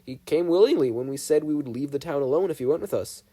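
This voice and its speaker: A stern male government agent, as a man reads him in an audio story. He is dismissive and officious, clipping his orders in a mellow voice. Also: a little high, expressive, fast talker. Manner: curt, officious.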